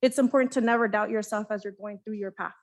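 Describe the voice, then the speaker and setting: A woman on a conference panel giving advice to students in an earnest, halting way. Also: medium pitch, fairly even intonation.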